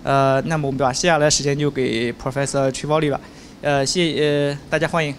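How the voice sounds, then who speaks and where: A man's voice: mid-range voice, flat delivery, fast talker, a little hiss or hum. A young man as event host gives a hesitant, formal introduction of a guest speaker on a handheld microphone in a lecture room. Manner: hesitant, with filler sounds.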